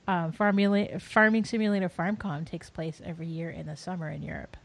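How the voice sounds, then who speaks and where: A woman's voice: fairly low pitch, steady tone, fairly quick, vocal fry. A woman co-hosting a podcast and presenting game news in casual chat.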